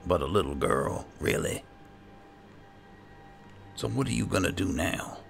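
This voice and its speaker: Casting: male gamer, extremely deep and heavily creaky voice, swooping intonation, sardonic in-character roleplay commentary over a video game. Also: expressive, unhurried, faint music behind.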